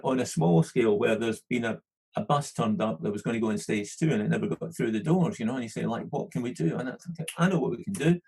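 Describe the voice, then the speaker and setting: A middle-aged man with a Scottish accent talks in a gravelly, husky voice. He rambles in casual conversation, stacking "you know" and restarting his sentences as he goes. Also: mid-range voice, darkish tone, poor audio. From London. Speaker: film studio manager, podcast guest. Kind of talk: casual podcast interview.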